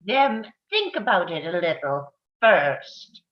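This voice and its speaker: A woman's voice: fairly low pitch, very animated. A woman voicing a wicked, gloating witch villain who taunts and barks commands in a theatrical screenplay read. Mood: gloating menace. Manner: menacing, commanding, drawn-out taunting. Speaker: table read actor. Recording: roomy, dull lo-fi microphone sound.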